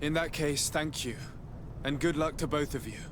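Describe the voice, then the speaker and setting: A man's voice: normal speed, close to an everyday voice. A man playing a young noble hero in a video game, earnest, courteous and resolute, speaking formally. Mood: earnest resolve. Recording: clear rumbling outdoor noise behind.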